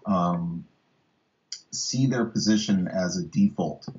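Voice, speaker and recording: A man in his thirties talking casually as an interviewer, haltingly searching for his words. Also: low-pitched, darkish tone, dull audio. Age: 30s. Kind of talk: casual philosophical conversation.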